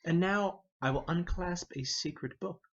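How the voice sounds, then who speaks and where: A man playing a measured, scheming older noble uncle in a formal, deliberate Shakespeare reading. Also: high voice, dull audio. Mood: conspiratorial. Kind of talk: dramatic reading of a Shakespeare play.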